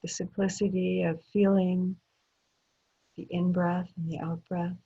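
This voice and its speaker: A woman meditation teacher leading a guided meditation in gentle short phrases with long pauses between them. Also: on the low side, little pitch movement, creaky, slightly rough. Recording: dull, muffled lo-fi audio.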